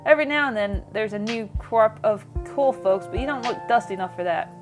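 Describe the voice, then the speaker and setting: A woman's voice: on the high side, lively intonation, brisk, over music, natural voice. A woman reading the lines of a chatty, friendly small-town young woman in a video game, with a folksy, easygoing manner. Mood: friendly curiosity.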